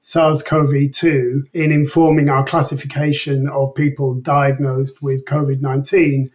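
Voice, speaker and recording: A man giving measured, precise, methodical explanations as a researcher being interviewed. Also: medium pitch, darkish tone, far from the mic, dull audio.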